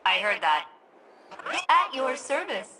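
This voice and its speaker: A woman voicing a malfunctioning female ship computer in a video game, split between formal cheer and sullen sarcastic deadpan. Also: medium pitch, slow, background noise.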